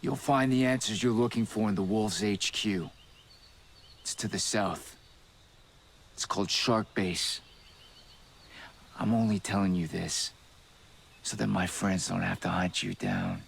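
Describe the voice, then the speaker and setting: An actor playing a shaken, bitter informant with a raspy voice who grudgingly gives directions in a game cutscene. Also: male voice, on the low side, sing-song, measured pace, pauses often, exaggerated voice.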